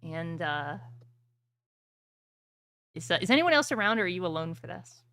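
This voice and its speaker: A woman's voice: lowish voice, sing-song, moderate pace, bright, forceful tone. A woman running a tabletop roleplaying game as game master, narrating it dramatically.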